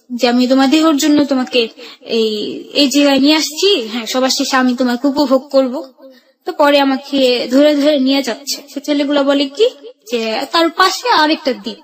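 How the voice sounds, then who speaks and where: A high-voiced woman from Bangladesh recounts her own life as a guest on a radio show. She speaks in colloquial Dhaka-accented speech and quotes people's words as she narrates. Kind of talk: personal life-story narration.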